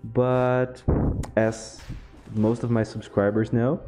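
A young man from Brazil, a Portuguese speaker with a Brazilian accent, chatting casually over gameplay, relaxed and laughing often. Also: medium pitch, takes it slowly, soft background music. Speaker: gaming YouTuber.

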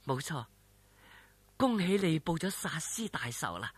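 A clever young martial arts heroine, read by a man speaks in a composed, hushed, coaxing way. The voice has a husky edge and a Hong Kong Cantonese accent. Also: on the high side, sing-song, measured pace, dry recording, full character voice.

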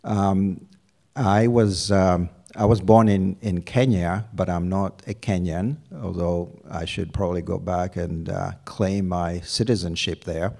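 A middle-aged man from Uganda, a university provost, answering interview questions on a campus stage with a handheld mic, measured and full of fillers. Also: low voice, steady tone, creaky, dark tone. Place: lounge stage before an audience. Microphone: handheld microphone.

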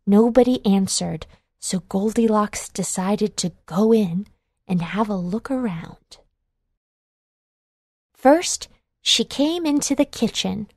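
A woman narrating a children's storybook in a measured, clearly enunciated read-along delivery. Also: medium pitch, darkish tone, no room sound, clean studio sound.

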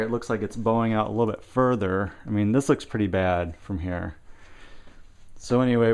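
A man giving a casual, unscripted walkthrough while filming indoors, thinking aloud in halting short phrases. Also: mid-range voice, darkish tone. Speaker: person filming a home repair walkthrough. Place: indoors, house basement with block walls. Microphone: handheld camera, held by the speaker.

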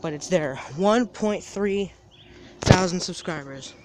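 A man's voice: high voice, takes it slowly, heavy background noise. A seventeen-year-old young man vlogging outdoors by a parking lot, talking into a phone held at arm's length. He rambles, repeats himself and restarts his sentences. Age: teens. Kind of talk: casual daily vlog.

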